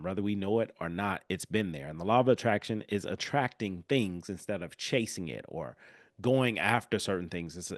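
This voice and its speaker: A man's voice: lowish voice, no echo, clean studio sound. Stuffy-nosed man hosting a podcast. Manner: casual, animated, chatty with asides. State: congested, nasal from a cold. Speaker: podcast host. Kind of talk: solo podcast talk.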